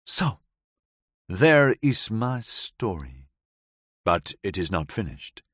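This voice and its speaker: A man's voice: lowish voice, sing-song, slow pace, a bit bright, husky, dry recording, clean studio sound, heavily acted. A man reading an audiobook who voices a hardened, vengeful woman telling her past in slightly broken English. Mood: weary. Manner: foreign-tinged broken English, bitter and intense.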